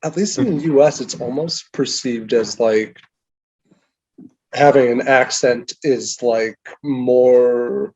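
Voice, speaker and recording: A man's voice: mid-range voice, takes it slowly, a little mellow, husky, lo-fi sound. A man chatting casually on a podcast, rambling and hedging with lots of filler words. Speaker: podcast co-host. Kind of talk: casual chat.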